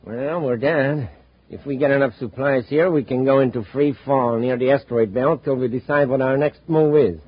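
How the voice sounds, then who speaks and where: An actor playing a cold-blooded, bossy criminal gang boss in the style of a 1950s radio adventure. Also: male voice, medium pitch, normal speed, close to an everyday voice. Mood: bossy, callous. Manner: commanding, callous. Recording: noisy, muffled 1950s radio recording.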